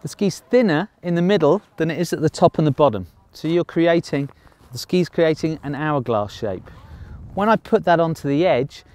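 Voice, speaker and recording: A man in his thirties teaches skiing out on a snowy slope, his voice sitting a little high. He explains plainly and upbeat, with the odd dry joke, into a clip-on mic. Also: a little mellow. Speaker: ski instructor. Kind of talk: on-slope ski lesson. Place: outdoors on a snowy ski slope.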